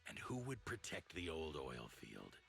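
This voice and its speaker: Deep, commanding gang overlord. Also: male voice, huge pitch swings, unhurried, vocal fry, a bit bright, soft background music, full character voice. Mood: stern, reproachful. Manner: commanding, formal. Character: imposing male overlord leader of a motor league, stern, dignified.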